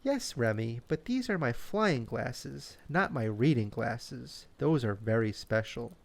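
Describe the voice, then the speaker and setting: A man voicing a wise, gentle and slightly fussy female owl in a children's bedtime story. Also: mid-range voice, animated, gravelly. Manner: gentle, deliberate.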